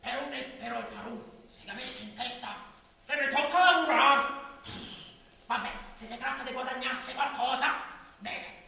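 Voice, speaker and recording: A man's voice: very high voice, moderate pace, gravelly, bright, forceful tone, heavily acted. A man playing a lazy, squabbling trickster servant in commedia dell'arte. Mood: grumbling. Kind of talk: improvised commedia dell'arte stage acting. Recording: very echoey hall, distant microphone.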